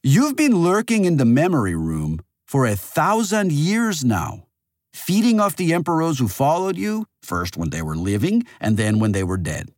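A man's voice: on the high side, huge pitch swings, moderate pace, darkish tone, no echo, studio quality, pitched above the performer's own voice. A man voicing a centuries-old artificial male monarch in an audiobook, pompous and morally certain, with a theatrical, lecturing manner. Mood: emphatic, lecturing.

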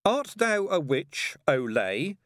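A man's voice: a little high, very animated, takes it slowly, fairly bright tone, no echo, clean studio sound, voice pushed up, exaggerated voice. A man voicing a boy who leads his gang, firm and mock-solemn, in a storybook reading. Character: boy gang leader playing solemn, magisterial inquisitor. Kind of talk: audiobook character voice.

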